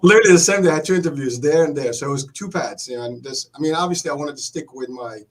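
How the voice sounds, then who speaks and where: A man in his fifties from Morocco, telling his life story in a casual chat, animated and repeating words as he goes. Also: fairly high pitch, very fast.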